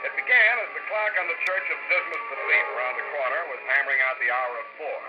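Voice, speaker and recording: An actor playing a wry, hard-boiled private detective in a deadpan, sardonic 1940s radio style. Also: male voice, on the high side, quick pace, over loud music, close to an everyday voice. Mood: wry narration. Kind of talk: 1940s radio acting, first-person narration and dialogue. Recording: noisy, muffled old-time radio recording.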